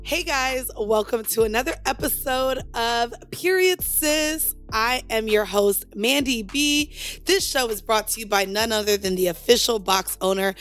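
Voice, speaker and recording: Woman podcast host, chatty and upbeat, voice on the high side, dry studio sound. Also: fairly bright tone, no room sound. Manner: chatty, upbeat, asks personal questions. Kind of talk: casual podcast chat.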